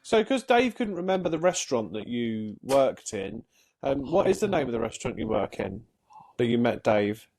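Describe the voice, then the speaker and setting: A man's voice: mid-range voice, very animated, moderate pace, vocal fry, a little mellow. A man co-hosting a podcast, asking the guest questions in a curious, chatty way. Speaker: podcast co-host. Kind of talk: casual interview questions.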